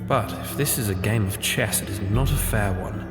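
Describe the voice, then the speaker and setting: Brooding master detective played by a man, low, formal and clipped, in cavernous reverb under loud music. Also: moderate pace, lightly acted. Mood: guarded, irritated. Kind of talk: audio drama acting.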